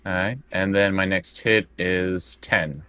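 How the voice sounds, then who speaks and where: A low-voiced man talks casually as a tabletop game player. He is hesitant and often restarts his sentences. The sound is dry, with very little room. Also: slow pace, pushed and bright, clean tone, no echo.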